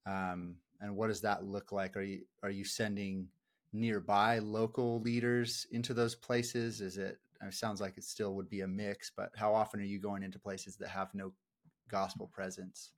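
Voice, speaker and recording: A man's voice: fairly low pitch, level tone, normal speed. A man interviewing in a casual, reflective way, thinking aloud as he phrases his questions.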